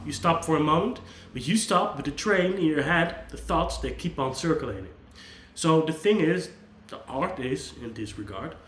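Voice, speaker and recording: A man whose first language is Dutch, a psychologist who hosts his own channel. He talks to camera without a script, earnest and gentle, and restarts his sentences often. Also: on the high side, echoey room. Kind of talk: unscripted talk to camera.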